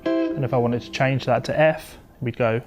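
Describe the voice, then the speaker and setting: A male guitar instructor talks through a lesson in an informal, methodical way. His voice sits at an average pitch for a man. Prominent guitar playing accompanies his explanations. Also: steady tone, moderate pace. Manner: explains step by step, talking between demonstrations. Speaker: guitar teacher. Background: electric or acoustic guitar being played.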